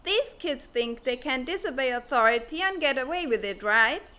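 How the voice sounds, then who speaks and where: A woman's voice: high-pitched, expressive, moderate pace, pushed and bright. A woman playing a brash, scheming teenage schoolgirl in a comic fan dub, cocky and playfully threatening. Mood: excited, determined.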